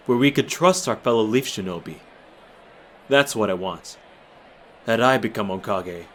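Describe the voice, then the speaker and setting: A man acting a proud, guarded, bitter clan warrior in an anime-style audio drama, speaking in a grave, measured, formal way. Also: on the low side, a bit bright, slight background noise. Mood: somber. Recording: dramatic music behind the voice.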